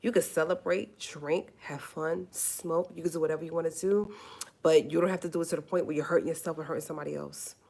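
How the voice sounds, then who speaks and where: Low-voiced chatty forty-year-old woman. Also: steady tone, fast, vocal fry, darkish tone. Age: 40s. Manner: chatty, emphatic, repeats points, calls listeners honey. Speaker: livestream host. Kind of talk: casual livestream talk.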